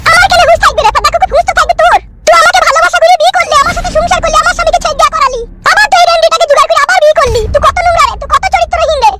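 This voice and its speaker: Jealous village wife, tiny pitched-up cartoon voice, rapid scolding. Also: female voice. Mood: furious, scolding. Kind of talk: comedy cartoon voice acting. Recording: loud music behind the voice.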